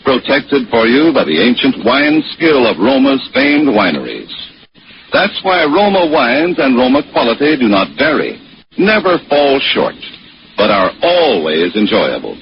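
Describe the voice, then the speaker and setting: A man's voice: mid-range voice, sing-song, a little slow. A man reading a scripted 1940s radio advertisement as announcer, polished and emphatic. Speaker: commercial announcer. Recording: muffled noisy vintage radio recording.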